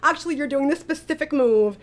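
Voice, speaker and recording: A woman with a fairly high-pitched voice hosts a relaxed cast interview. She talks informally, slipping in dry jokes as she moves between comments and questions. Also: a little fast. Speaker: interview host, audio drama director. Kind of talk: casual interview hosting.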